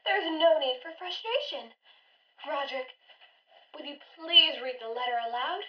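Woman acting very high-voiced, earnest young daughter. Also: normal speed, lightly acted. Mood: indignant, proper. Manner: earnest, proper, plaintive. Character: earnest, devoted eighteen-year-old daughter of a wealthy family. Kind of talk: old-time radio melodrama acting. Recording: muffled, roomy old-time radio sound, distant.

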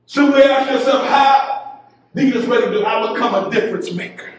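A middle-aged man preaching a sermon from a church pulpit, building into shouted, half-sung exhortation. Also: very high-pitched, a little fast. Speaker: pastor. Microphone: pulpit mic, filmed by a far camera. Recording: very echoey church from a distance.

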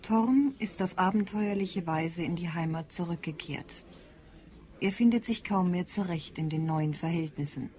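Lowish-voiced female narrator. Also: normal speed, mellow. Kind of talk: scripted present-tense report narration.

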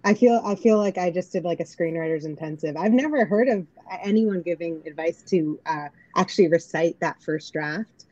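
A woman's voice: on the low side, moderate pace, few pauses, a little mellow, no echo. Woman, dry, slightly muffled call audio. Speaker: host. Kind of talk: casual chat on a video panel. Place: indoor room at home. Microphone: video call.

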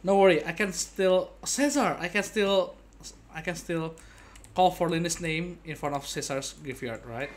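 A young adult man giving casual, playful gaming commentary to his viewers like an excitable showman. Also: fairly high pitch, moderate pace, slight background noise. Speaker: game streamer. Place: indoor gaming setup at home. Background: game music.

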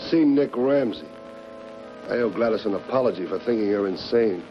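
An actor playing a calm, commanding armed man, measured and grimly dry, in 1950s noir film style. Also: fairly low pitch, close to an everyday voice. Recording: dull old film soundtrack with music.

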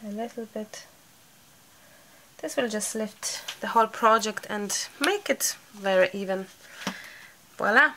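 Woman, slightly hoarse, bright voice, unhurried, chatty tutorial host. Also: medium pitch, slight background noise, poor audio. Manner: chatty, thinking aloud, light laughs. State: slightly hoarse from a sore throat. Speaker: craft channel host. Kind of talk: casual crafting tutorial voice-over.

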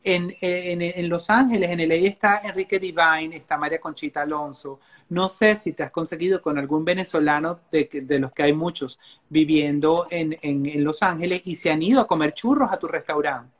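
A man's voice: on the high side, normal speed, hardly any pauses. A middle-aged man hosting a live video-call interview, asking encouraging questions from his living room. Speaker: host. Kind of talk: casual live-stream interview.

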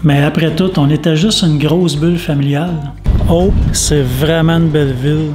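Higher-pitched Québécois man narrating casually. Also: fairly even intonation, normal speed, over music. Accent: Quebec French. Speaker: vlog host and narrator.

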